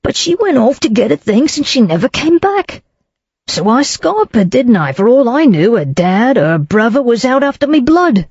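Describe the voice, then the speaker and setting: A reader voicing a smarmy Cockney salesman in his thirties, nervous and wheedling, pushing the pitch up. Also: very animated, normal speed, darkish tone, husky, dry recording. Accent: Cockney overlaid with refinement. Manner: wheedling, panicky, raised pitch. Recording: dull lo-fi, dry.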